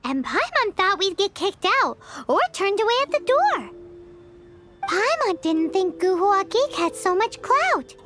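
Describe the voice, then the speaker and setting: A woman voicing an excitable, childlike little fairy companion with a squeaky voice and exclamatory manner in a video game. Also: measured pace, soft background music, heavily acted. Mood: cheeky, curious. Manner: refers to self in third person, exclamatory.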